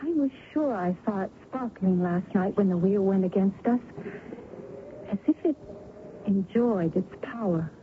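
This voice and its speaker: A woman's voice: mid-range voice, a little slow, close to an everyday voice. An actress playing a young woman in love in an old-time radio drama, earnest, hesitant and superstitious. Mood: uneasy. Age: young adult. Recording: muffled, band-limited vintage radio recording, faint noise.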